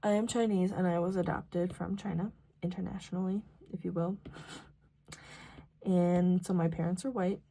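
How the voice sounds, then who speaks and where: A woman's voice: lowish voice, steady tone, moderate pace, creaky, warm tone. A young American woman chatting casually and ramblingly as she works on her planner, informal and self-deprecating, sometimes murmuring to herself. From rural South Dakota, US. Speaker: planner vlogger.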